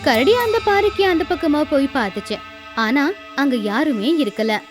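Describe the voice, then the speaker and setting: A woman narrates a scripted fable for an animated story. Her voice is very high-pitched, and music plays lightly behind her. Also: unhurried.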